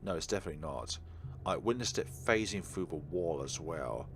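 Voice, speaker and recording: A man's voice: deep voice, lively intonation, fairly quick, a bit bright, slight background noise. A man hosting a visual novel playthrough, reading the text aloud and mixing in casual, offhand remarks. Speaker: host of a game playthrough.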